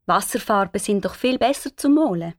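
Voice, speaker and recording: A woman's voice: medium pitch, animated, moderate pace, no echo, clean studio sound, lightly acted. A woman playing a kindly, homely aunt in a children's audio story, speaking Swiss German. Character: kindly, homely aunt looking after her nephew.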